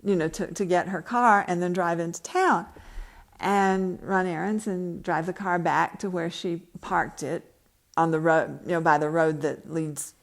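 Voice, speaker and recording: A woman's voice: on the low side, fairly even intonation, unhurried, vocal fry. A woman novelist speaking at a book event, reading from her work and answering questions.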